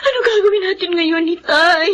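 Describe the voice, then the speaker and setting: Shrill, tearful distraught mother. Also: normal speed, a bit bright, a little hiss or hum. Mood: anguished. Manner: tearful, quavering, pleading. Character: distraught, pleading young mother of a stricken boy. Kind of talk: melodramatic Tagalog radio acting.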